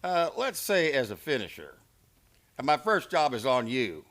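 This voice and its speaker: An actor playing a smug, menacing hired gunman in a Western radio drama, theatrical and mock-polite, with a gravelly voice. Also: medium pitch, huge pitch swings, moderate pace, a bit bright.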